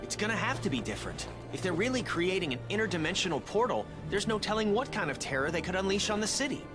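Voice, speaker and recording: Brainy teen turtle inventor. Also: male voice, sits high, big pitch swings, fast. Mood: earnest. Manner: earnest, techy explaining. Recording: game cutscene with music.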